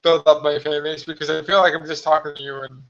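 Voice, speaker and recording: A hoarse man chatting casually on a live stream from home, rambling with many fillers. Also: fairly high pitch, flat delivery, quick pace, fairly bright tone. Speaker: vlogger hosting a live stream. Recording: slightly dull lo-fi live stream audio.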